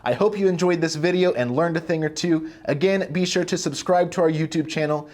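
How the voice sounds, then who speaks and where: A man's voice: fairly high pitch, a little fast, darkish tone. A man presenting a product tutorial, explaining things step by step in a plain-spoken, casual way.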